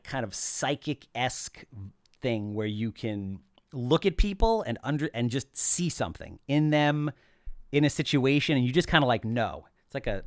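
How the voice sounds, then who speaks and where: Animated joking middle-aged man. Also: lowish voice, no room sound, studio quality. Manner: animated, joking, gestures broadly while talking. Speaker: podcast host. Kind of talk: casual podcast chat. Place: small office studio. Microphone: boom mic close up.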